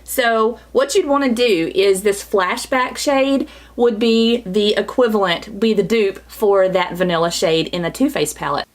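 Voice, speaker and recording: A woman, chatting bubbly through a makeup tutorial while her voice is scratchy from a cold. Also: mid-range voice. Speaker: beauty vlogger. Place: small home studio, indoors.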